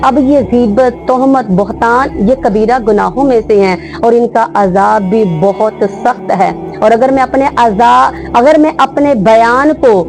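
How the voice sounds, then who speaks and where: Higher-voiced woman giving a religious lecture. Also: rough recording. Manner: rhetorical, builds repeated parallel phrases. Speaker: Islamic teacher.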